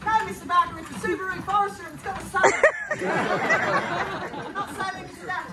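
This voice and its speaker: A woman playing a bold, rowdy male convict ringleader in a live comic stage play, shouting orders and joking with the audience. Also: very high-pitched, lively intonation, normal speed, recorded from a distance, very noisy, voice pushed up. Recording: echoey live hall miked from afar, loud crowd.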